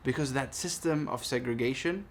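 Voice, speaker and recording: A man in his thirties from Palestine speaking in a personal monologue, earnest, deliberate and emphatic. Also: mid-range voice, slight background noise. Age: 30s. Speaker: vlogger making a response video. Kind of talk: personal monologue addressed to camera.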